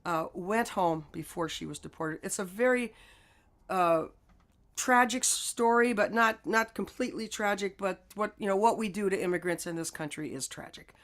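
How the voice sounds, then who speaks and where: A singer-songwriter woman with a stuffy cold, speaking earnestly over a video call as she introduces a song. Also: medium pitch. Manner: earnest, halting with fillers. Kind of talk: casual talk introducing a song.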